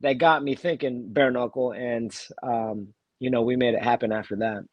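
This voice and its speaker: A fighter from Texas, talking casually as an interview guest while nursing a cold, with many fillers and restarts. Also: male voice, medium pitch, steady tone, normal speed, no room sound, dull audio. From Texas, USA. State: has a cold. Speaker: bare-knuckle fighter, guest.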